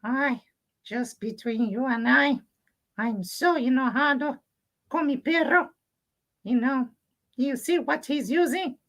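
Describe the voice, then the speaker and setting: A woman voicing an excitable, huffy little boy puppet for a children's show, childlike and dramatic, with Spanish exclamations mixed in. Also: high voice, slow pace, pauses often, exaggerated voice. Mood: indignant.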